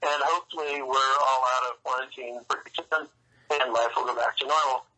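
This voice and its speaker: A man chats casually as an interview guest. His middle-pitched voice is extremely bright and edgy, and he talks in a halting, conversational way. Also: steady tone, rough recording. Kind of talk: casual chat.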